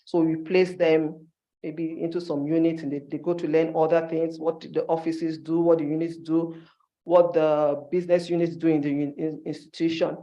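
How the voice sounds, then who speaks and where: A man's voice: a little high, little pitch movement, normal speed, warm tone, highs cut off. A man giving an instructive conference presentation in short, emphatic phrases, as a university staff member.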